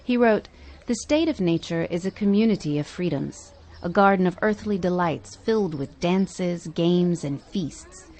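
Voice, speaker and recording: A woman podcast host reading scripted narration in measured, emphatic phrases. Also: fairly low pitch, dark tone.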